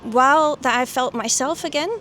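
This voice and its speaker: Young lady, kinda high voice, thinking out loud in an interview, some noise behind. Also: moderate pace. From the Netherlands. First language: Dutch. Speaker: guest, coaching client and doctor. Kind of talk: personal testimonial interview.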